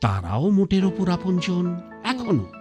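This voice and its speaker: Proud sick old man past eighty, slow, gruff, lively pitch; radio play, faint music. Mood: brooding. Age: older. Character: ailing man over eighty, proud and stubborn.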